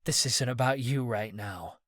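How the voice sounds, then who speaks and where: A man's voice: mid-range voice, a little slow, a bit bright, dry recording, studio quality. Commanding young woman, read by a male narrator; curt and firm. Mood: snapping. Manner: snappish, firm.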